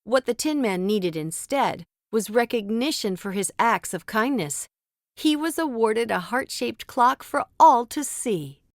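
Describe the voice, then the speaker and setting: A woman's voice: on the high side, animated, a little slow, no echo, clean studio sound. A woman narrating a children's storybook as a scripted read-aloud.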